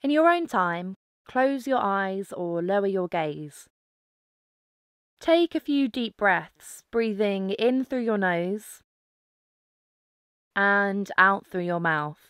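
A woman narrating a guided mindfulness meditation, giving calm, gentle instructions with pauses. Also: medium pitch, clean tone, no room sound, clean studio sound.

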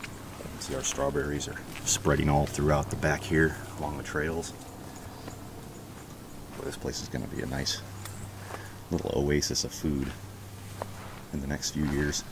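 A man giving a casual walking tour of his backyard garden outdoors, chatty and relaxed as he points things out on a handheld camera. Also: extremely low pitch, a little slow, pauses often, noisy background. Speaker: homestead vlogger. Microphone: handheld camera held while walking.

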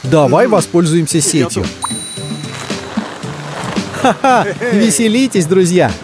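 Children's cartoon narrator: excitable and urgent, very animated delivery, music underneath. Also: medium pitch, slow. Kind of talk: animated, exclamatory storytelling addressed to the characters. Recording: music behind the voice.

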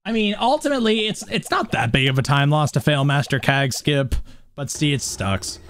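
A man's voice: fairly high pitch, very animated, normal speed, a bit bright, a little hiss or hum. A man in his thirties on a headset, chatting casually and playfully while speedrunning a game, muttering little nonsense syllables as he plays. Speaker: speedrunner streaming. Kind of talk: casual live-stream chat while playing. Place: home room in front of a webcam. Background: game music.

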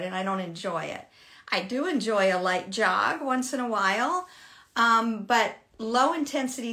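A woman in her sixties answering fitness questions in a chatty, animated way during a casual live Q&A from a home room. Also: on the high side. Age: 60s. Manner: chatty, animated, laughs often. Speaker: fitness host. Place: home room with shelves, indoors.

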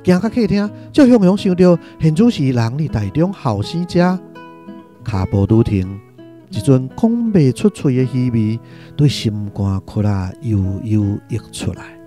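A man with a Taiwanese accent narrating a radio drama in an expressive, literary storytelling style. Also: mid-range voice, measured pace, faint music behind.

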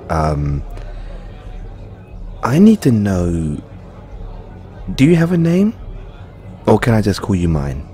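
Actor as a dorky, slow-talking British flirt. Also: male voice, sits low, darkish tone, heavily acted. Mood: flirty. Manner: halting, giggly, bashful delivery. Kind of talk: audio roleplay acting.

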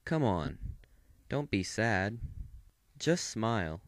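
A man voicing a playful, teasing male cartoon cat in an amateur audition, with a soft, coaxing way of talking. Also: lowish voice, big pitch swings, slow, no echo, pitched below the performer's own voice, exaggerated voice. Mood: gentle, coaxing.

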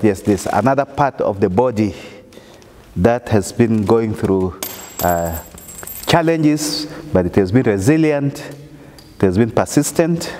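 Earnest man from South Sudan, lowish voice. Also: a little mellow, in a large hall. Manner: earnest, measured, emphatic pauses. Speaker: church leader. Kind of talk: interview testimony. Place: indoor chapel with wooden wall.

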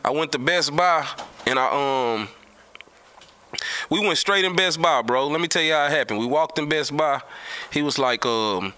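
Bright-voiced man with a Southern US accent. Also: a little high, normal speed, pauses often. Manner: slangy, profane, repeats himself. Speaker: host telling stories from the music business. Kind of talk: casual storytelling. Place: small indoor studio at a table. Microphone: studio mic on a boom arm, close.

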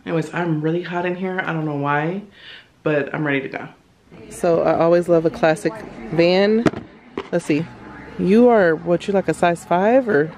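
A woman's voice: lowish voice, normal speed, darkish tone. A woman in her thirties vlogging casually, talking directly and familiarly to her viewers. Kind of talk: casual chatty vlog. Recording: music behind the voice. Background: background music.

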